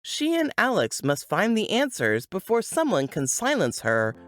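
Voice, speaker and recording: A woman's voice: medium pitch, huge pitch swings, normal speed, loud music behind the voice. A woman reading a book blurb as scripted narration.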